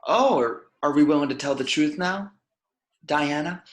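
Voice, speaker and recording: A man's voice: a little high, moderate pace, close to an everyday voice. A man playing an embittered, accusing villain with wounded intensity in an amateur screenplay table read. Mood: bitter, taunting. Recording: echoey home room.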